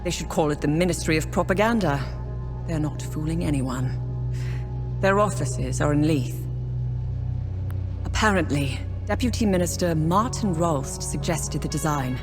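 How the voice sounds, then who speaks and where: Dutiful woman reporter, low voice, composed game dialogue, clear background music. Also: expressive, normal speed. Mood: matter-of-fact. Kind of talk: video game dialogue, briefing.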